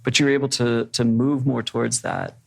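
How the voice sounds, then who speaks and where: A man's voice: mid-range voice, level tone, moderate pace, gravelly. A man hosting a podcast panel, speaking thoughtfully and setting up questions for the guests. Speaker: podcast host. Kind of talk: reflective podcast discussion.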